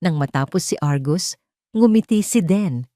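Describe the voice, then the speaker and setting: A Filipino woman narrates a dramatic audio story from a script. Her voice sits a little low, and the recording is very dry, with no room around it. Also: lively intonation, unhurried, mellow, no echo.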